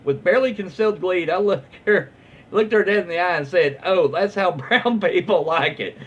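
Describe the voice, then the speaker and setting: A man with a Southern US accent and a husky voice reading online posts aloud in a casual, comedic, easily amused way. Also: high-pitched, moderate pace, a bit bright, lo-fi sound.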